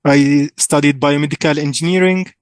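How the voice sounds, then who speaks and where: A man in his thirties, born in Syria with Arabic as his first language, giving an enthusiastic, informal startup pitch at a conference. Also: steady tone, moderate pace, clear, resonant tone, no room sound, clean studio sound. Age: 30s. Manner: enthusiastic, informal, thinks aloud with fillers. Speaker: startup founder presenting.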